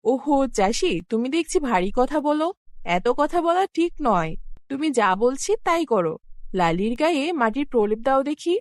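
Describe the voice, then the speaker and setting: A fairly high female voice from an actress plays a good fairy in a cartoon folk tale. She is grand and commanding yet benevolent, with very lively intonation. The sound is dry and close. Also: normal speed, no room sound. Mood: kindly, instructing. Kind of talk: acted animated fairy-tale dialogue.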